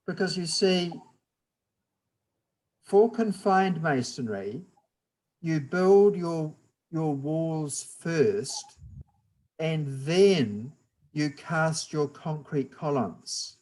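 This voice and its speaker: Man, New Zealand accent, guest lecturer, very slow deliberate delivery, fairly high voice, patient explaining. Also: lively intonation, a little mellow, poor audio.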